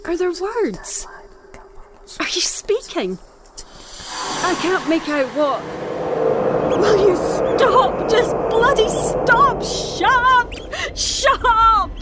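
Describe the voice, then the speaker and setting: A woman playing a troubled, defensive island woman in an audio drama, speaking in a halting, distressed way. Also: very high-pitched, lively intonation, very noisy, heavily acted. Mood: anguished, angry.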